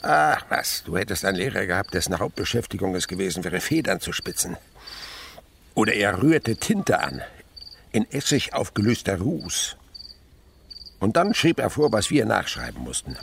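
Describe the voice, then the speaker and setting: A man's voice: lowish voice, very animated, moderate pace, vocal fry, fairly bright tone, slight background noise, full character voice. An actor playing an elderly insect researcher, an eager and wistful uncle, in a German radio drama, dramatic and savouring his words. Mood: nostalgic, animated. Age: older.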